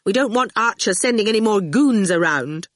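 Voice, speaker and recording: A woman voicing a teasing middle-aged mother who speaks slowly. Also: medium pitch, lively intonation, no echo, studio quality, natural voice.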